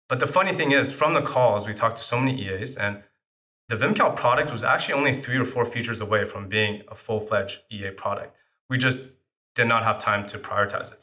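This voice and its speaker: A startup founder who grew up speaking French, answering interview questions in a casual way with many fillers. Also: male voice, lowish voice, pushed and bright.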